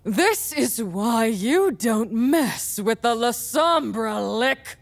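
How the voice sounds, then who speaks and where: A woman's voice: on the high side, lively intonation, gravelly, full character voice. Woman acting a vengeful vampire woman, dramatically swooping. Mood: menacing, gloating. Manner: dramatic, sneering delivery with drawn-out menace. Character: vengeful, defiant vampire woman, savage and menacing.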